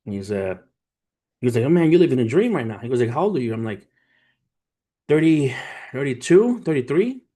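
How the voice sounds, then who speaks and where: A man's voice: medium pitch, big pitch swings, a little fast, long pauses, warm tone. A man in his thirties hosting a livestream, talking informally with slang and swearing as he tells stories and gives motivational talk to his viewers. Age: 30s. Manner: informal, street slang, profane, addresses viewers as family. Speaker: livestream host, trader. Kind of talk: casual livestream chat, storytelling and motivational talk.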